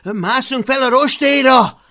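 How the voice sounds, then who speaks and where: A man voicing a brash, cheeky young Viking boy who talks in a loud, excited way. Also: very high-pitched, pitched above the performer's own voice.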